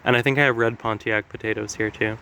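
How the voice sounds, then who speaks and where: A man speaks in a slightly raspy voice, worn down by allergies, chatting through a relaxed garden walkthrough. He explains each plant as he goes, filling gaps with "uh" and "like". Faint outdoor noise and the odd passing vehicle sit behind him. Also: on the low side, quick pace. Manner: chatty, explanatory, with frequent fillers. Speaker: gardening vlogger.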